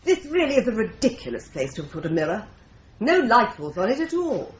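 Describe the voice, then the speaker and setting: Brisk tart office lady, crisp and decisive, roomy echo, muffled old radio sound. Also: on the high side, sing-song. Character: brisk, sharp-tongued female office worker. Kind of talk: 1950s-style BBC radio drama.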